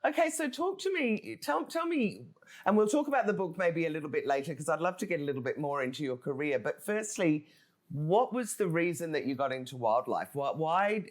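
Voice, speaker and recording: A woman hosts a casual interview with a highly animated, swooping voice. Her manner is informal and effusive. She reacts readily and puts her questions conversationally. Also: a little fast. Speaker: interview show host.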